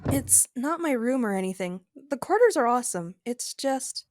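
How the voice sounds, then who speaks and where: A woman's voice: a little high, expressive, moderate pace, darkish tone, no room sound, clean studio sound, lightly acted. A woman playing a frank, feisty, worried female adventurer in an audio drama, speaking candidly and with emphasis. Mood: worried, hesitant. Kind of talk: first-person narration and dialogue in an audio drama.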